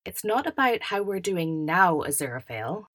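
A woman's voice: lowish voice, big pitch swings, moderate pace, a bit bright. A woman playing an overbearing, self-important elder brother with a preachy, over-hearty manner in a fan audio drama.

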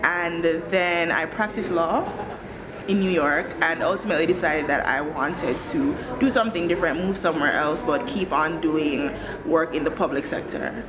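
A woman's voice: sits low, moderate pace. A woman in her thirties from Jamaica, speaking articulately and explaining things in a conversational interview. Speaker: interviewee, country director of an education social enterprise. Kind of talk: conversational interview, telling own career story. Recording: clearly noisy ambient background.

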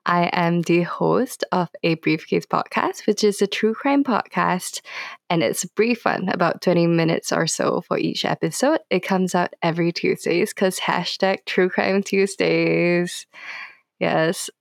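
A young woman talks as a guest on a podcast. Her voice is slightly low with plenty of creak, recorded dry. She is chatty and playful, peppering her talk with casual slang. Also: a little slow, no echo. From Singapore. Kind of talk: casual interview chat.

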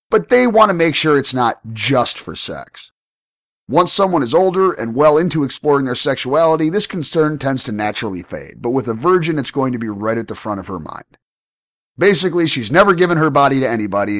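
A man's voice: medium pitch, a bit bright, no room sound, studio quality. An American man hosting an advice podcast, reading out listener mail and answering bluntly with casual profanity, while sick from pneumonia. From United States. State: ill, recovering from pneumonia. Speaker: podcast host.

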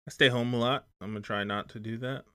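A man's voice: medium pitch, steady tone, fast, sharp tone, clean tone. A man co-hosting a casual podcast, playful and teasing, with slangy banter. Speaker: podcast co-host. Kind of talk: casual chat.

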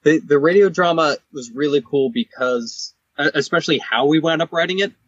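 Slightly rough-edged man, husky. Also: fairly high pitch, brisk, a bit bright, highs cut off. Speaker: podcast host. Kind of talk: casual chat.

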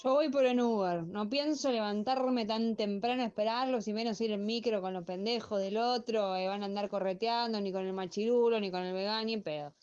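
A woman's voice: mid-range voice, a little fast, few pauses, darkish tone, clear, resonant tone, muffled recording, natural voice. A woman playing a cold, sardonic middle-aged Argentine woman with a dry, disdainful delivery in a comic radio play. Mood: scornful.

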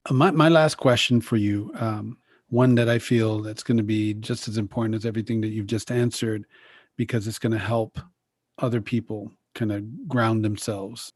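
Measured male interviewer, dry sound. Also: lowish voice, fairly even intonation, darkish tone, husky, dry recording. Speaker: interview host. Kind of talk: interview questions.

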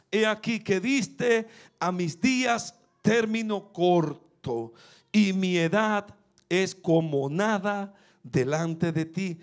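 A man preaching a sermon, fervent and repetitive, building to emphatic peaks. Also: sits high. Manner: fervent, rising to emphatic peaks, repeats phrases.

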